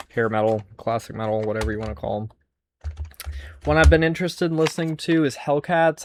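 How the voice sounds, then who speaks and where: Rambling man with noise behind him. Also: medium pitch. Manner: rambling, musing aloud. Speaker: host showing a music cassette collection. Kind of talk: casual unscripted show-and-tell.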